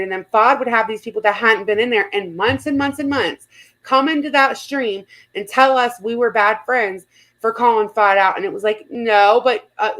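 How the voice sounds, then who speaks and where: A woman's voice: a little high, fairly bright tone, poor audio. A woman hosting a casual livestream chat, speaking in a blunt, outspoken way and swearing freely. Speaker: livestream host.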